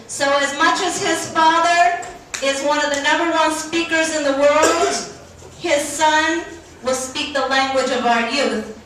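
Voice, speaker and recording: A woman's voice: high-pitched, sharp tone, a little hiss or hum. A woman in the music business telling an earnest story to introduce a guest, quoting her family as she goes. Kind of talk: heartfelt introduction speech. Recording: echoey hall from far off.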